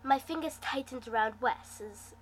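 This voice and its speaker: A woman reading fan fiction aloud in an expressive, dramatic manner, acting out the dialogue as she goes. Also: on the high side, a little slow, slight background noise, rough recording. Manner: animated, dramatic delivery. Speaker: amateur fan fiction reader, host.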